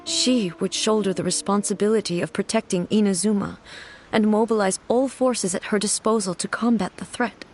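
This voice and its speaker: A woman voicing a calm, regal woman ruler in a video game, speaking in a measured, formal and quietly grave way. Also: mid-range voice, faint music behind, close to an everyday voice.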